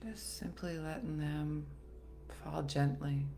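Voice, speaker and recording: A woman leading a guided meditation in a soothing, gentle, unhurried manner with long pauses. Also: low-pitched, creaky. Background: soft background music.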